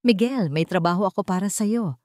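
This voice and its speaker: A woman voicing a cold, commanding, vengeful businessman who speaks calmly and deliberately with menace, in an audio romance drama. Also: mid-range voice, dry recording, studio quality, voice pushed up, close to an everyday voice.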